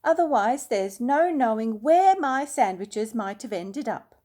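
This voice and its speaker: A woman voicing a polite, excitable young male bear in a storybook reading, earnest and full of exclamation. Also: a little high, a little slow, voice pushed up. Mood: matter-of-fact.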